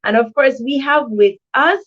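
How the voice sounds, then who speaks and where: A woman with a fairly high voice hosts a livestream, welcoming viewers effusively and often repeating herself. The audio is slightly dull and lo-fi. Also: moderate pace, a bit bright. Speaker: livestream host of an educational channel. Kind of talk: livestream greeting of chat viewers.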